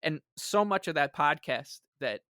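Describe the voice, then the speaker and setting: Man, podcast co-host, forceful tone, close dry sound, casual sports talk, emphatic sarcastic ranting. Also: a little high, animated, measured pace, no room sound.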